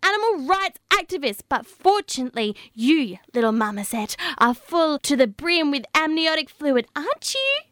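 Actress as vain ruthless schemer, falsely innocent, bright voice, swooping pitch, radio comedy. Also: female voice, big pitch swings, normal speed, few pauses, exaggerated voice. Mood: frantic, menacing. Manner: theatrical, feigned innocence. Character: scheming, vain woman obsessed with perfume, ruthless. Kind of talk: comedy radio sketch acting.

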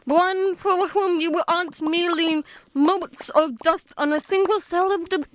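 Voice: extremely high pitch, steady tone, unhurried, a little hiss or hum. A performer voicing a prim, blunt pet goldfish in a comic radio sketch, awkwardly polite in delivery. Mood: blunt, exasperated. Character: Floaty, squeaky dead pet goldfish, prim blunt leader.

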